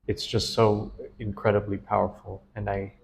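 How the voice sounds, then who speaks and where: A man's voice: lowish voice, little pitch movement, normal speed, gravelly, a little hiss or hum, low-quality recording. A man, a dream analyst, interviewing a client in an earnest, enthusiastic and easily laughing way. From United States. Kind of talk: casual interview.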